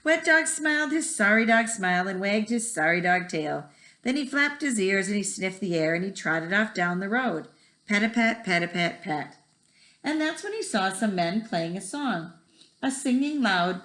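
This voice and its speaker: A woman's voice: medium pitch, lively intonation, moderate pace, fairly bright tone. A woman reading a children's picture book aloud expressively, with a rhythmic delivery that draws out repeated words. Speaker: read-aloud storyteller. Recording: echoey room.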